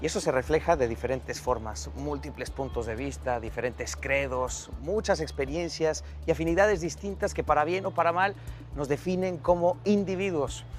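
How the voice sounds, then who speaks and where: A man's voice: fairly high pitch, normal speed, soft background music. A man working as a news presenter, delivering scripted narration and interview questions.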